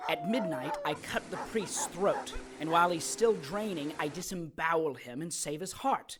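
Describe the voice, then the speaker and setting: A man's voice: on the high side, moderate pace, noisy background, lightly acted. A man playing a cold-blooded ritual killer in an audio drama, dry and sarcastic. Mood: impatient, sinister. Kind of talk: audio drama villain acting.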